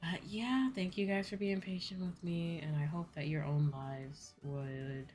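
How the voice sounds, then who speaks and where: A woman with a low voice talks to her livestream audience over faint music. She rambles along in a very casual, chatty way, leaning on filler words and dropping in the odd swear. It sounds like an unscripted catch-up with regular viewers. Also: fairly even intonation, measured pace, a little mellow. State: sleepy. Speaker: VTuber livestreamer; school teacher. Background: music behind the voice.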